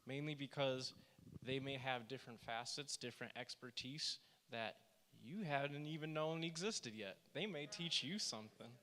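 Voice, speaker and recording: A soft-spoken man who is a therapist, giving a church workshop presentation and reading quotes aloud with earnest emphasis. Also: medium pitch, moderate pace. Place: church hall. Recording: reverberant church hall.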